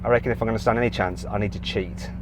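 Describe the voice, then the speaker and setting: A man with a Midlands accent presenting a car show, talking in an excitable, animated way and laughing in disbelief. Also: lowish voice, rapid-fire, very noisy. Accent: English Midlands. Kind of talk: excitable on-camera commentary.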